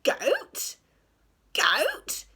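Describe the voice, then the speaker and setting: A woman voicing a loud, bossy male pirate captain who shouts his orders. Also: extremely high pitch, huge pitch swings, measured pace, pitched above the performer's own voice, exaggerated voice.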